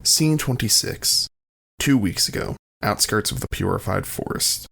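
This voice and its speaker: A man with a gravelly voice narrating a scripted audio drama. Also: on the low side, expressive, a little slow, fairly bright tone.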